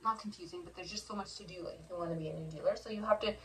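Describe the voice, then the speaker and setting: A soft-spoken woman co-hosting a casual chat, explaining step by step with many pauses and ums. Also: on the low side, recorded from a distance, a little hiss or hum. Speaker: co-host.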